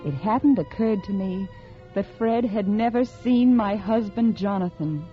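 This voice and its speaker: A woman's voice: medium pitch, measured pace, lightly acted. Woman acting a cold, scheming wife in old radio suspense. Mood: smug, rueful. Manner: composed, calculating. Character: cold, scheming murderous wife, confessing and narrating her crime. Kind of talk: 1940s-1950s American radio suspense acting. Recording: muffled old radio recording with music.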